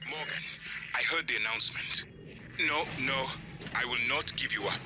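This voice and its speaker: An actor playing a loyal peacemaker in a video game, speaking earnestly and imploringly. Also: male voice, mid-range voice, normal speed, over music, natural voice. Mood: earnest, pleading. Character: loyal, pleading, peace-seeking man.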